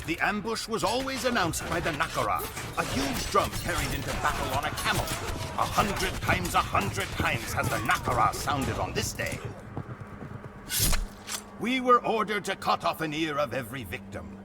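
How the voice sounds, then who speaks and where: A man's voice: on the high side, very animated, moderate pace. An actor playing a solemn, proud Mongol warrior who narrates his people's conquests in a grave, theatrical manner for a game cutscene. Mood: proud, fierce. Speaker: campaign narrator. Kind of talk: dramatic scripted cutscene narration. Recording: music behind the voice. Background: music.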